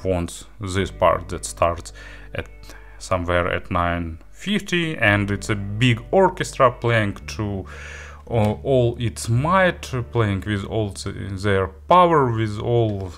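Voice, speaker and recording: Male gear reviewer, unhurried and hesitant yet keen, slightly low voice, spoken review. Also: expressive, a bit bright.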